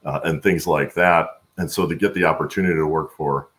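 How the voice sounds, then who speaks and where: Very deep, gravelly American man. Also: fairly even intonation, brisk, a bit bright. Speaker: guest, supply chain executive. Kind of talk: conversational interview answers. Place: room at home on a video call.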